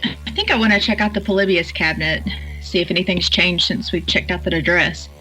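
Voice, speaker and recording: A man's voice: high voice, a little fast, sharp tone, poor audio. An actor playing a practical, eager man in a naturalistic audio drama. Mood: businesslike. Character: practical, eager companion planning an expedition. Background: music underneath.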